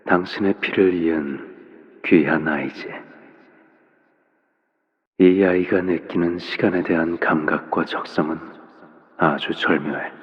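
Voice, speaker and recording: A fatherly video game villain with a deep voice that hangs in long reverb. He is calm and cryptic, softly spoken, and lets each measured phrase settle before the next. The menace stays quiet beneath a gentle, parental manner. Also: muffled recording. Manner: soft-spoken, unhurried, measured, pausing between phrases. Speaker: video game character voice actor. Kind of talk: game character voice lines, slow theatrical monologue.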